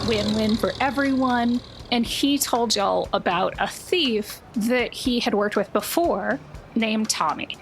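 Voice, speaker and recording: A woman's voice: on the high side, a little hiss or hum. A woman narrating a tabletop game as its game master in a conversational, folksy way.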